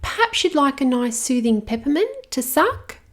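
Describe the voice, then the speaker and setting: A woman voicing a gentle, caring little girl with a soft high voice. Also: very animated, moderate pace.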